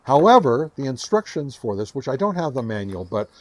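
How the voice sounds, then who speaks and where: A man's voice: medium pitch, very animated, a little fast, darkish tone, no echo. A man casually showing and explaining gear as host of a hobby review video, chatty and enthusiastic. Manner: chatty, enthusiastic about gadgets. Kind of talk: casual hands-on product demo and explanation. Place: home radio shack.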